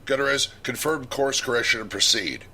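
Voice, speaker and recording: A man playing a commanding starship captain in a sci-fi audio drama, giving authoritative orders in a husky voice. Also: mid-range voice, moderate pace, pushed and bright, a little hiss or hum.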